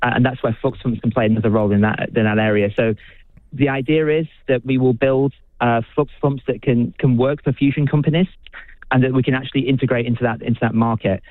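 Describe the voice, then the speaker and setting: A British physicist being interviewed on the radio, speaking with enthusiasm, halting often and restarting his sentences. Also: medium pitch, steady tone, no echo. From United Kingdom. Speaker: physicist and researcher. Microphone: phone. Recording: dry tinny phone line.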